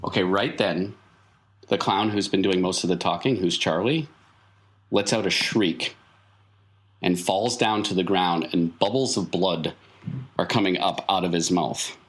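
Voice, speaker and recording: Low voiced guy running a tabletop game, telling what happens. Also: unhurried. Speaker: game master.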